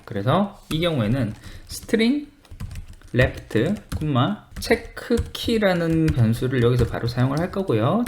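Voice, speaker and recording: A screencast tutor with a mid-pitched voice and animated intonation. The delivery is methodical and explanatory, thinking aloud through each step while working at a computer. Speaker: software tutorial presenter.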